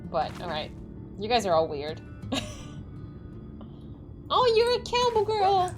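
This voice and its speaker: A young woman streaming a game, chatting excitedly with a slightly squeaky voice, over faint game music. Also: takes it slowly. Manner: excitable, chatty, laughing often. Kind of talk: casual chat while playing a game.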